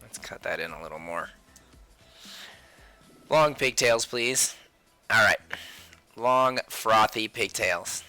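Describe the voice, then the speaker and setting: A man's voice: fairly low pitch, expressive, slow, long pauses, pushed and bright, no echo, soft background music. A man hosting a casual drawing livestream, chatting playfully with viewers and talking to himself as he works. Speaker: livestream host, sketch artist.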